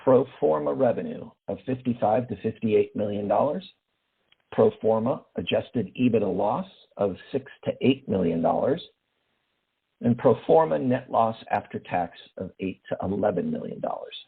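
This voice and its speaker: A man, a finance executive, reading a formal financial report in a steady manner over a phone line. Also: fairly low pitch, moderate pace, gravelly, slightly rough.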